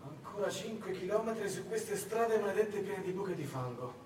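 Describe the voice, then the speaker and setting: A man's voice: lively intonation, normal speed, darkish tone. Man playing a sardonic Nazi major, echoey hall. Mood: irritated. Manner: commanding, sardonic. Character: arrogant cruel German SS major, mocking and grumbling. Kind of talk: live storytelling theatre monologue. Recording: echoey, roomy, distant, faint rumbling noise.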